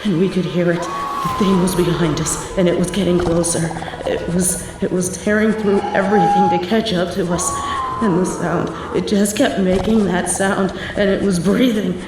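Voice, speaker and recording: A young adult woman performing a scripted horror narration, telling the story in a hushed, tense manner. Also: lowish voice, fairly even intonation, normal speed, loud music behind the voice, a loud crowd. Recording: very echoey room.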